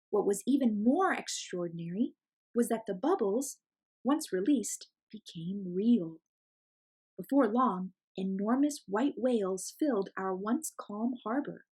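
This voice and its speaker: A woman reading a picture book aloud as an expressive storyteller for children. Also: medium pitch, breathy edge.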